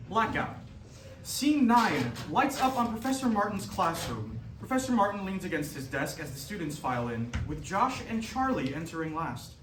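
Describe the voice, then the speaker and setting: A man's voice: sits high, big pitch swings, normal speed, music in the background. A man giving scripted narration, reading out stage directions at a staged reading. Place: echoey room, staged reading. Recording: echoey distant mic, clear noise.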